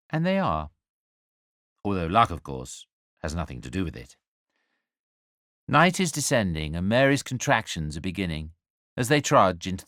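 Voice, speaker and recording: Expressive, low-voiced angel storyteller. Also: male voice, dry recording, clean studio sound. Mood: wry, grave. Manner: measured, wry, confiding, addresses the listener directly. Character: male angel narrator, wry, knowing, compassionate storyteller. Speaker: narrator. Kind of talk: dramatic scripted storytelling for radio.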